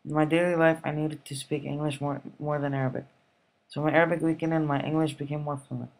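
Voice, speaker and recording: A teenage boy from the United States reading his school essay aloud in a steady, plain way. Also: on the high side, poor audio. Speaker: student.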